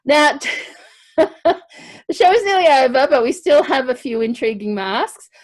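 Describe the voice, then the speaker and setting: Woman, Australian accent, high-pitched, casual online host, discursive, halting, playful. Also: lively intonation. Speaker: host of a live online variety show. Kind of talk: casual chatty hosting on a video call.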